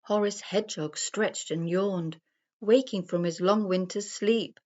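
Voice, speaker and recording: A woman narrating a rhyming children's story in a deliberate, sing-song storybook manner. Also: medium pitch, darkish tone. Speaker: story reader. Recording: dull, muffled lo-fi sound.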